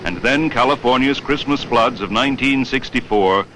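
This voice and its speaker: An American man reading scripted historical documentary narration in a measured, formal way. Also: medium pitch, bright, forceful tone, rough recording. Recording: clear background noise.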